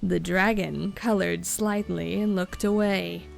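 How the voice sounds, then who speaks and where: A woman narrates a storybook in a fairly low voice with a noticeable creak. Her reading is scripted audiobook narration, with faint music underneath. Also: fairly even intonation, slow pace.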